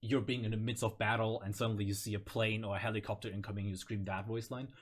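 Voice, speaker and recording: A man's voice: lowish voice, little pitch movement, fast talker. A man from Russia, a native Russian speaker, hosting a casual chat and explaining game voice lines. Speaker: host of a video series.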